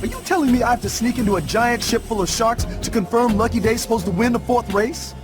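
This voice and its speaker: A man voicing a cocky, excitable young fish hustler with an American accent and brash, jive-talking delivery in a video game cartoon. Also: very high voice, fast talker. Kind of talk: video game cutscene acting. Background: music.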